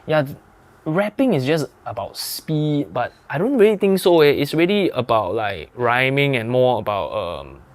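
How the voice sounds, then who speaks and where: A man's voice: mid-range voice, normal speed. A Singaporean man with a Singaporean English accent, hosting a casual podcast chat in a studio. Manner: relaxed, uses Singlish particles, swears casually. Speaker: podcast host.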